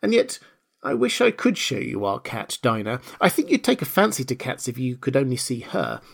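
A man's voice: mid-range voice, wildly swooping pitch, normal speed, creaky, slightly rough, exaggerated voice. A man voicing a polite, chatty little girl in a storybook, earnest, eager and a bit dreamy. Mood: fond chatter. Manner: eager, apologetic, musing. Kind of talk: storybook character voice.

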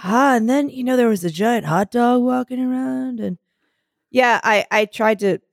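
A woman with a smoky, scratchy voice, roughened by a sore throat and full of gravelly fry. She chats loosely on a podcast and restarts her sentences often. The recording is close and dry. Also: fairly high pitch, moderate pace, dry recording. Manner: chatty, rambling storyteller with many restarts. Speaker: podcast co-host. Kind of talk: casual chat.

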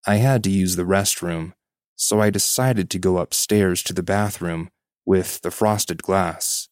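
Male podcast narrator, deep register, reading a personal story aloud, dry studio-like sound. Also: darkish tone, no echo.

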